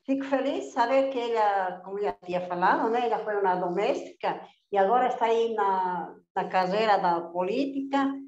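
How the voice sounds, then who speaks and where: A woman's voice: mid-range voice, roomy sound. Woman, Spanish accent, earnest encouraging talk, muffled sound. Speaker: domestic workers' union director. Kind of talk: casual radio chat.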